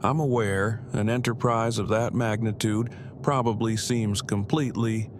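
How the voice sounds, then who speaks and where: A man's voice: fairly low pitch, fairly even intonation, measured pace, background noise, natural voice. A man playing an earnest, bookish husband in an audio drama, speaking in formal, wordy and precise phrases. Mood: hesitant, confiding. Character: verbose, earnest, bookish husband on a road trip.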